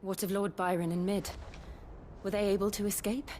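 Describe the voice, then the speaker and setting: A woman's voice: medium pitch, level tone, moderate pace, dark tone, a little hiss or hum, lightly acted. An actress playing an earnest, caring young woman of magical power in a video game, serious and direct. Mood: concerned. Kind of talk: dramatic video game acting. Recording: music behind.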